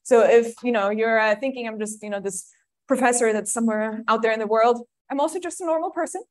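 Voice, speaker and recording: A woman's voice: a little high, fast, darkish tone. A woman from Germany giving a friendly, chatty academic seminar talk with many ums. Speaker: guest lecturer.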